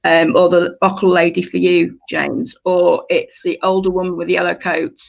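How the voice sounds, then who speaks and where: A woman's voice: on the low side, level tone, moderate pace, vocal fry. A woman leading a creative writing workshop, giving polite, slightly hesitant instructions to her group in a conversational way. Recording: dull lo-fi audio.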